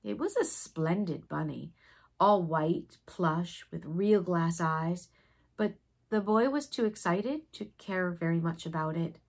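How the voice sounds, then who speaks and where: A woman reading a children's story expressively, with dramatic pauses and a breathy, sometimes hushed delivery. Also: on the low side. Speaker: storybook reader.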